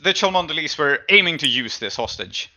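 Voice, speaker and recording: A man recapping a tabletop game session as game master in a conversational, halting way, pausing mid-phrase. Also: on the high side, animated, pushed and bright, highs cut off.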